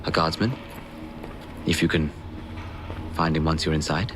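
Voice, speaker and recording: A man playing a polite, reserved gentleman in a video game, slightly hesitant. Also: a bass voice, steady tone, a little slow, music in the background. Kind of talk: video game dialogue acting. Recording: noisy street ambience behind.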